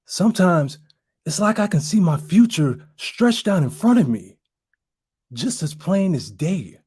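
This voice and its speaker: A man's voice: sits high, big pitch swings, measured pace, dark tone, breathy edge, heavily acted. A man playing an earnest, yearning son pleading with his mother in an emphatic dramatic audition monologue.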